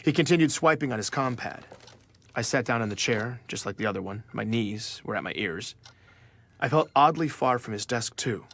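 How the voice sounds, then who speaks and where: Anxious male journalist, mid-pitched. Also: lively intonation, fairly bright tone. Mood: measured, uneasy. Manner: measured narration, hesitant in dialogue. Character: nervous male investigative journalist narrating his own story. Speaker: narrator. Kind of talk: scripted first-person audio drama narration.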